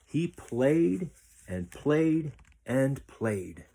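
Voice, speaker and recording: A man reading a children's picture book aloud as a storyteller, with expressive, deliberate phrasing. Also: mid-range voice, gravelly.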